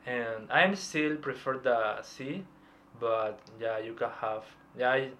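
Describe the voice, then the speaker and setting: A man in his thirties from Peru, talking in a relaxed, hesitant way as a podcast interview guest. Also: mid-range voice, slow pace, a little hiss or hum. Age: 30s. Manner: reflective, hesitant, with light laughs. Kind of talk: casual personal interview.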